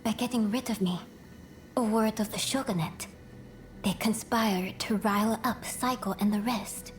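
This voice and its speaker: A woman playing a gracious, composed princess in a video game, speaking in a refined, formal and measured way over music. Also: medium pitch, slight background noise, close to an everyday voice. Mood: composed, resolute. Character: gracious, composed noble princess, gently resolute. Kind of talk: video game cutscene acting. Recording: dialogue over clear music.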